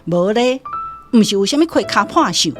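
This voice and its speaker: A woman's voice: medium pitch, lively intonation, normal speed, faint music behind, lightly acted. Radio play: actress as a confiding village woman, explaining the gossip. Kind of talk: radio drama acting.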